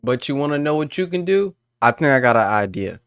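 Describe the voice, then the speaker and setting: A man's voice: medium pitch, sing-song, fairly quick, fairly bright tone, smooth. A man giving casual reaction commentary in an offhand, slangy way. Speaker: reaction host. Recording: faint background noise.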